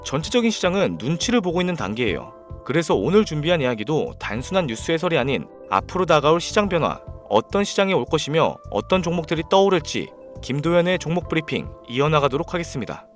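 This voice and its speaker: Lively male stock briefing host over music. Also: on the high side, fast. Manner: presenter-like, addressing viewers directly. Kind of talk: scripted stock market narration.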